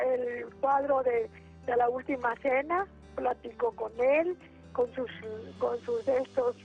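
Woman, 80s, Mexican accent, devout caller, rambling, high voice, tinny phone line. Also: slightly rough. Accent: Mexican Spanish. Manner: devout, rambling, halting with restarts. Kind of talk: spoken prayer and testimony.

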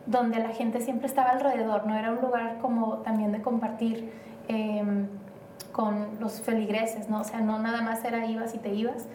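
A woman's voice: on the high side, flat delivery, moderate pace, darkish tone. A woman from Mexico, a guest in an interview, talking in a rambling, hesitant way with many fillers. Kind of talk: casual interview conversation. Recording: roomy, distant, faint noise.

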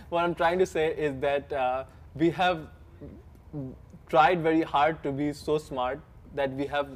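A man's voice: on the high side, slow pace. A man with an Indian accent hosting a casual panel chat, joking, laughing often and restarting his sentences. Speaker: host.

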